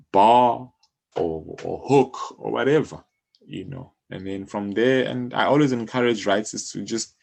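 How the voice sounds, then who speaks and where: A man's voice: fairly low pitch, creaky, a little mellow. A man speaking casually as an interview guest, explaining things in an encouraging way and often saying "you know". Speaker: music publisher, podcast guest.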